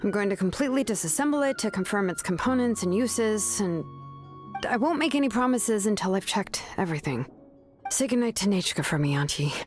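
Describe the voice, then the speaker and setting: A woman's voice: mid-range voice, brisk, natural voice. Woman voicing a laid-back young craftswoman. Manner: relaxed, casual storytelling. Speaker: artisan and name engraver. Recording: game audio with clear background music.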